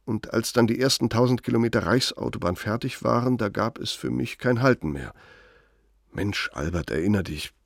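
An actor playing a self-important ageing German architect who dictates in a pompous, deliberate, rhetorical manner, as in a radio play. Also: male voice, medium pitch, lively intonation, vocal fry. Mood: nostalgic, proud.

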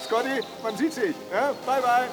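An actor playing a boisterous, jovial middle-aged husband in a naturalistic radio drama, garrulous and bantering. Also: male voice, very high-pitched, moderate pace, over music. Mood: teasing, swaggering.

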